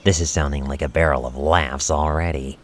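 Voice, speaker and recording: Man as a deep, sardonic little creature. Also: moderate pace, a little hiss or hum. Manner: deadpan, sarcastic. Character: dry, sardonic, grumbling male little creature. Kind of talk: audio drama acting.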